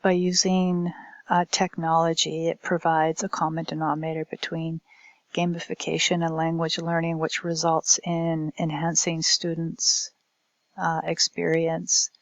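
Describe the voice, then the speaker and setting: A woman giving a recorded academic presentation as a language instructor, speaking in a halting, hesitant way. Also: sits low, completely flat delivery, no room sound, lo-fi sound.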